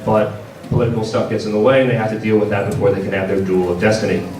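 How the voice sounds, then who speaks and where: A man giving an informal panel presentation as a game publisher, speaking haltingly with stammered repeats. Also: on the low side, level tone, crowd noise. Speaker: visual novel publisher presenting at a convention panel. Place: large indoor convention panel room. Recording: echoey, noisy convention room from far off.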